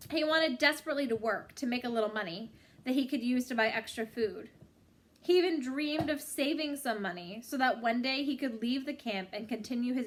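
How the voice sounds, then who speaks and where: Woman, slightly high pitch, read-aloud storytelling, measured expressive narration, drawn-out phrases. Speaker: reader reading a book chapter aloud.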